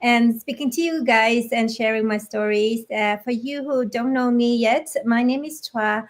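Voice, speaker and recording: A woman's voice: on the high side, unhurried. A middle-aged woman from Vietnam casually sharing her personal story as a guest on a live video call. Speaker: guest, business coach and part-time teacher.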